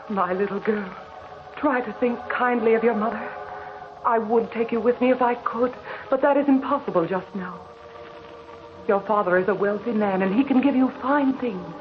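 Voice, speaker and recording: A woman playing a tender, sorrowful mother reading out a farewell letter in a measured, formal way on old radio. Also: medium pitch, natural voice. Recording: muffled old radio recording with music.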